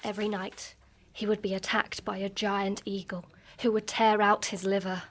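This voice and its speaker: A woman playing a mysterious, teasing young beauty who tells an ancient myth in a measured, suspenseful way for a radio drama. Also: medium pitch, little pitch movement, very noisy, natural voice. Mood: solemn storytelling. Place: live on a festival stage.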